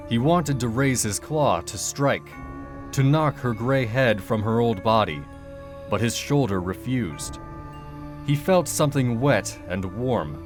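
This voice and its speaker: A man reading a dark fairy tale as a dramatic, scripted storyteller. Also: medium pitch. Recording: music behind the voice.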